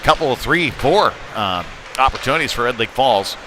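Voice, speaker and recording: A man calling live hockey play-by-play in a halting, conversational way, with frequent hesitations. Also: medium pitch, lively intonation. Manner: halting, filler-heavy, conversational delivery. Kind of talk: live amateur sports play-by-play commentary. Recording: clear background noise, live venue ambience.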